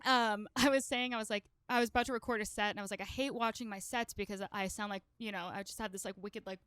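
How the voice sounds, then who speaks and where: A young woman with a nasal voice, co-hosting a podcast in casual, animated chat and swearing freely. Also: medium pitch, fast, clear, resonant tone, no room sound, studio quality. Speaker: podcast co-host.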